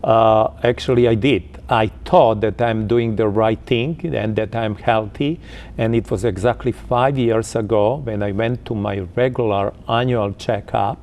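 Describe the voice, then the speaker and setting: Croatian-accented guest in his fifties, low and gravelly, hesitant answers. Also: steady tone, a little mellow. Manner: halting, with many uh fillers. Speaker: interview guest. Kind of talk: casual interview answers.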